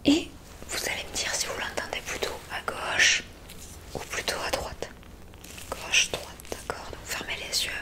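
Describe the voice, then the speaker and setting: A woman whispering gently and breathily close to the mic, giving soothing, reassuring instructions in an ASMR medical exam roleplay. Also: a little slow. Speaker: ASMR creator playing an examiner in a roleplay. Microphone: close ASMR microphone.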